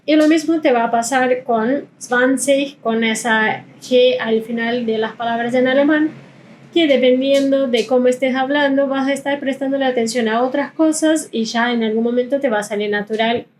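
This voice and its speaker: A woman's voice: on the high side, darkish tone. Woman teaching German pronunciation. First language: not Spanish. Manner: explanatory, slows down to model sounds. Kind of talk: pronunciation lesson.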